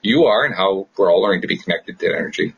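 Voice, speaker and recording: Very husky, enthusiastic man podcasting. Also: low voice, fast, lo-fi sound. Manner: enthusiastic, excitable, speeds up when excited. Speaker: podcast host. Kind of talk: casual unscripted podcast chat.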